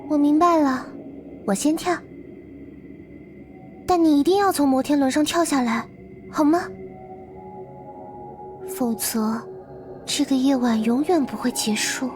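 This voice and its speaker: A woman playing a mysterious, devoted girl in a visual novel game, speaking in a soft, earnest, coaxing manner. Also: sits high, unhurried, long pauses, soft background music. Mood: earnest, pleading. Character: Lilith, mysterious devoted girl from beyond the world.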